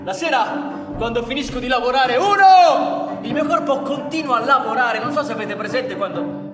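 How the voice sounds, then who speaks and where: Earnest working-class family man, high-pitched voice, dramatic stage storytelling, radio play, music behind. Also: expressive, moderate pace, recorded from a distance. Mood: proud, animated. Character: earnest working-class factory man, husband and father, recalling hardship. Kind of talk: theatrical monologue in a radio play. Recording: echoey hall with live music behind.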